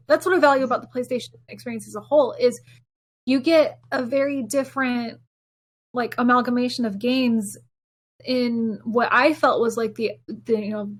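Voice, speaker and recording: A woman's voice: a little high, a bit bright. A woman co-hosting a podcast, chatting casually about video games and telling rambling stories with acted-out quotes. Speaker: podcast co-host.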